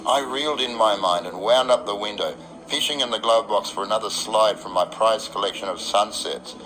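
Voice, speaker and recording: A man's voice: lowish voice, moderate pace, fairly bright tone, a little hiss or hum, low-quality recording. An Australian man performing a dramatic first-person radio narration in a wry, literary beat-poet storytelling manner. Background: electric guitar music.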